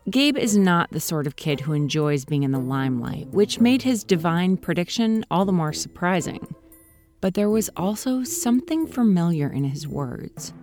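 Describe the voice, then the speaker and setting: Calm low-voiced woman narrating. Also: normal speed, faint music behind. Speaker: podcast host. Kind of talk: scripted podcast narration.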